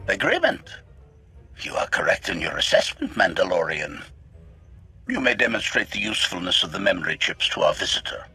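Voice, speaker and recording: An actor playing an arrogant, sardonic assassin droid in a video game, with formal, clipped, condescending phrasing and a robotic filter. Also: male voice, low-pitched, sing-song, a little fast, vocal fry, pushed and bright, breathy edge, faint music behind, heavily acted. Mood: haughty, grudging. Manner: announces each sentence type, formal and clipped, condescending. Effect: robotic metallic voice filter.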